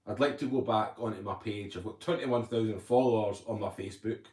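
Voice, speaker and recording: A man's voice: fairly low pitch, a little fast, darkish tone. A man hosting a casual livestream, rambling chattily and reading viewer comments aloud. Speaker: livestream host. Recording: roomy echo.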